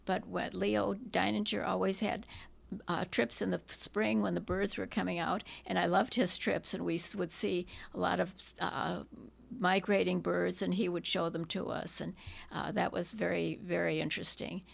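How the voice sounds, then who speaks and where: Older woman with a gravelly, creaky voice. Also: lowish voice, flat delivery. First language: Czech. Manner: halting, reminiscing, many restarts. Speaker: interviewee, hiking club member. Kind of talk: oral history interview.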